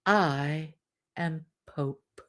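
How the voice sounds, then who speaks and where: A woman's voice: low-pitched, very slow, fairly bright tone, full character voice. Woman acting a bossy, greedy fisherman's wife. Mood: haughty. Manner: commanding, impatient, haughty.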